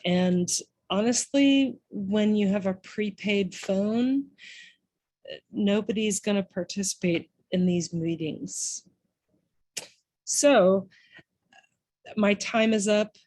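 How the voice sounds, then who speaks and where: A woman giving public comment at a town meeting, earnest and halting, with many pauses and restarts. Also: mid-range voice, a little mellow, low-quality recording.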